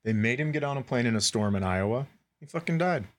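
A man's voice: mid-range voice, lively intonation, fast talker. A man co-hosting a comedy podcast in casual banter, cutting in with short quips and mock asides.

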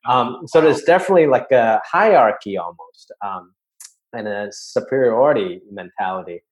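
A man from Hong Kong settles into a relaxed, conversational story as a podcast guest. His voice sits at a middle pitch and drops low and quiet for his asides. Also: moderate pace, poor audio. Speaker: guest comedian. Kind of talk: casual podcast chat, storytelling.

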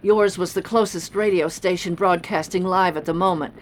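An actress playing a courteous, grave city mayor in an audio drama, speaking in a measured, official way. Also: female voice, lowish voice, fairly even intonation, slight background noise, lightly acted. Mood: courteous, firm. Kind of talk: formal public announcement in radio drama.

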